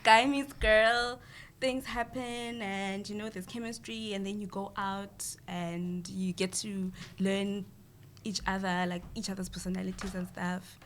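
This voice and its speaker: A woman's voice: medium pitch, fairly even intonation, measured pace, a bit bright, a little hiss or hum. A young woman from South Africa on a panel, giving her opinions firmly and often adding "you know". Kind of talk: casual panel chat.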